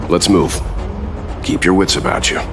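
An actor playing a calm, composed older expedition leader in a video game, speaking in a measured, formal and deliberate way. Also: male voice, low voice, music in the background, close to an everyday voice. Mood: calm, decisive. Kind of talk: video game cutscene dialogue.

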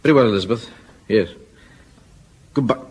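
An actor playing a pompous, blustering middle-aged captain in a radio sitcom. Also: male voice, on the low side, a little fast, husky. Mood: flustered. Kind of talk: 1970s radio sitcom acting. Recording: old radio recording with hiss.

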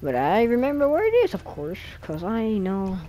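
A man giving excited, panicky commentary while playing a driving game, repeating words rapidly when things go wrong. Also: high-pitched, a little hiss or hum. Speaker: gameplay commentator.

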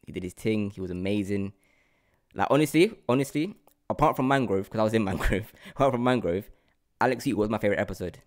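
A man's voice: fairly low pitch, fast. A young man with a London accent hosting a casual interview, effusive and repeating words for emphasis. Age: young adult. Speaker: podcast host, actor. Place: indoor room at home, video call. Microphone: large desk microphone up close.

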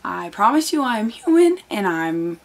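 A woman's voice: mid-range voice, big pitch swings, moderate pace. Teen girl with a tired, strained voice. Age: teens. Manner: chatty, earnest, many fillers. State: losing her voice. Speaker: vlogger. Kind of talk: casual chat to camera. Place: bedroom, indoors. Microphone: camera on desk, close framing.

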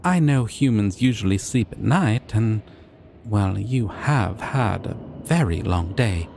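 A man voicing a shy, sweet, gentle dragon in an ASMR roleplay, speaking in a hesitant, tender and soothing way. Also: on the low side, animated, slow, vocal fry, background noise, full character voice. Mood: apologetic, hesitant. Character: shy, sweet, gentle young male dragon, eager to please.

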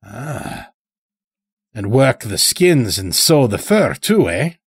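Male narrator as old rustic elder, needling, chatty, swooping intonation, unhurried, audio story. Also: mid-range voice, sing-song, slightly rough, no room sound, heavily acted. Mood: sly, chatty. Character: folksy, sly, taunting weary old elder. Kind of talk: dramatic audio story character.

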